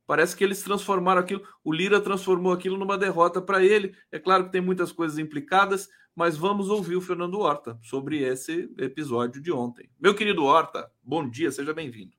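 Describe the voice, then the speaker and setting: A male host with a fairly high voice leads a live talk show in a playful, bantering way. He stops on fillers before getting to his point. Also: fairly even intonation, fairly quick, few pauses, fairly bright tone. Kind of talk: casual live show hosting.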